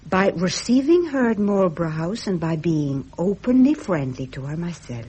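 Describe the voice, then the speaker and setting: A woman playing a gracious, devoted princess in a radio drama, speaking in an earnest, refined, measured way. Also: on the low side, very animated, mellow, husky. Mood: serene devotion. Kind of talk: 1970s British radio drama acting.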